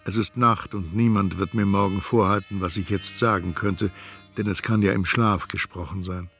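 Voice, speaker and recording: An actor playing an anxious, brooding storyteller who talks to himself in a literary radio drama. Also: male voice, low-pitched, steady tone, normal speed, fairly bright tone. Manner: introspective, talking to himself. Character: anxious, brooding first-person male storyteller. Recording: music behind the voice.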